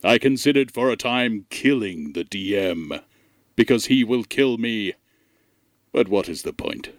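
A man's voice: fairly low pitch, expressive, a little slow, no echo, clean studio sound. A man playing an ancient disembodied alien intelligence in a comedy audio drama, speaking in a formal, ponderous, melancholy way. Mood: mournful. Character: gloomy ancient disembodied male alien intelligence facing death.

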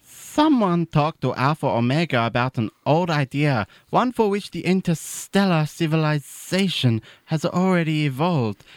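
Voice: medium pitch, dry recording, natural voice. A performer voicing a stubborn, greedy pet snake in a comic radio sketch, matter-of-fact in delivery. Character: pet snake, sullen, greedy, defensive sidekick.

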